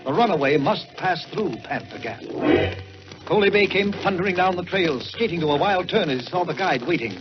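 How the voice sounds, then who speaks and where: Theatrical 1940s radio storyteller, high-pitched man. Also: expressive. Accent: American. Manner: theatrical, measured delivery with drawn-out words. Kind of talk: 1940s radio dramatic narration. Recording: dull vintage 1940s radio, music behind.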